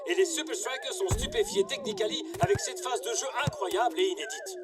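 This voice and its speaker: Casting: theatrical cartoon match commentator, extremely high voice, excitable, loud music underneath. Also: male voice, a little fast, exaggerated voice. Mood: impressed. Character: excitable male football commentator. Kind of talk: sports commentary in a French-dubbed cartoon.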